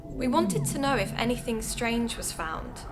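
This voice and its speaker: A woman's voice: a little high, animated, measured pace, noisy background. A woman playing a keen amateur investigator who hosts a podcast, speaking with a British accent in a conversational, confiding way. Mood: polite, inquiring. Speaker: podcast host and narrator. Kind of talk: audio drama acted as first-person podcast narration.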